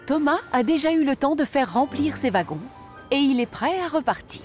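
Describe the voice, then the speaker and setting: A woman giving scripted storybook narration for a cartoon, told in a clear, even way. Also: sits high, moderate pace. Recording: music bed under the narration.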